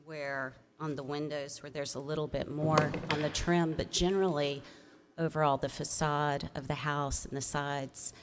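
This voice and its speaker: Woman w/ a deep voice explaining stuff as city staff, measured, bit hesitant. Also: little pitch movement, slight background noise. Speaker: city staff member presenting to commission. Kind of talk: explanatory staff report at public meeting.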